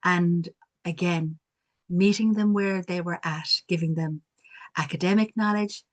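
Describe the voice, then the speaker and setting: A woman's voice: on the low side, steady tone, unhurried. A woman from Cork with a strong Cork Irish accent, telling reflective stories on a video call panel. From Cork, Ireland. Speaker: community development worker. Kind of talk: online panel talk.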